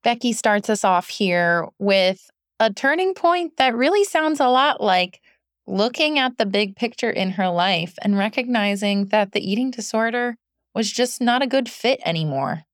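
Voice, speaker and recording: A woman's voice: fairly high pitch, expressive, a little slow, no room sound. A woman hosts a podcast in an encouraging, upbeat way. She reads from a script in a dry, close recording. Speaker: podcast host.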